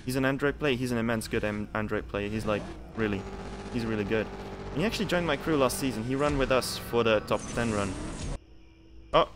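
A man's voice: mid-range voice, fairly quick. Young man, from Belgium, game streamer, chatty, repeats himself, faint background noise. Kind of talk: casual live-stream commentary.

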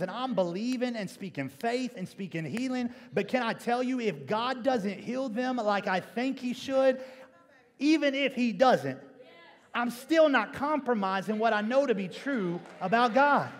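A young man preaching a church sermon emphatically, repeating key phrases for effect. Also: very high voice. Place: large church stage indoors. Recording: reverberant church auditorium sound.

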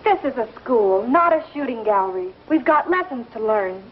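An actress playing a prim, spirited young frontier schoolteacher in an old Western TV drama. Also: female voice, a little high, animated, normal speed, background noise, natural voice. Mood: firm, proper. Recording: dull vintage TV soundtrack, music behind.

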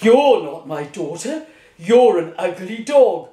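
A woman voicing a dismissive, blustering king in a children's story, gruff and exclamatory. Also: mid-range voice, big pitch swings, slow pace, dark tone. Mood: angry. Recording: echoey room.

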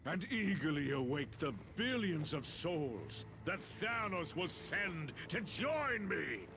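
An actor playing a grim, menacing alien warlord in a video game, speaking in a grandiose, theatrical way. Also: male voice, on the high side, very animated, slow pace, full character voice. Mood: grim, fervent. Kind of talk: video game villain acting. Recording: loud music over the voice.

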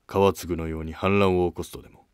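Guarded former-monk prince acted by a man, deep dry male voice, formal and curt, historical drama. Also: level tone, a little fast, smooth, dry recording, close to an everyday voice. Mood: guarded, stern. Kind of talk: scripted historical drama dialogue.

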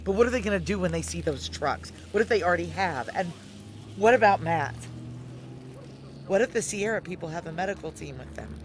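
An actress playing a tough, desperate fugitive, telling her story in an intense, halting, urgent way in an audio drama. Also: lowish voice, animated, a little hiss or hum, lightly acted. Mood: anxious. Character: tough, desperate female fugitive narrating a sci-fi heist. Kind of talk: dramatic first-person audio drama narration and dialogue.

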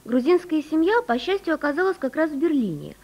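A woman's voice: high voice, very animated, a little fast, darkish tone, lo-fi sound. A woman narrating a television feature in scripted voice-over. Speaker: interviewer and narrator.

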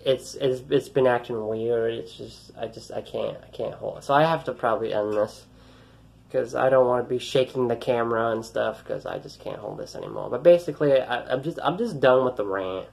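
A man's voice: medium pitch, brisk, creaky, a little mellow. A young man ranting frustrated into a phone held at arm's length in a small room, his voice light and youthful, rambling, swearing and restarting his sentences. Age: young adult. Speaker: vlogger. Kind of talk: ranting selfie vlog. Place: small room indoors, then outdoors on a road.

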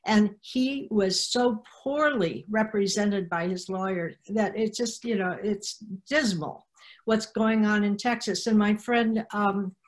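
An older woman gives informal remarks on a video-call panel. Her voice sits at a middle pitch. She speaks haltingly, searching for words as she goes. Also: rough recording. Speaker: panelist, activist coordinator. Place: room at home, on a video call.

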